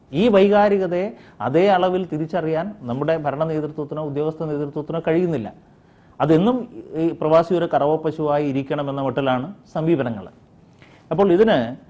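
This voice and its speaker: A man giving his opinions in an interview, deliberate and argumentative, with hesitant pauses. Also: fairly high pitch, dark tone, muffled recording. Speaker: interview guest commenting on expatriate affairs.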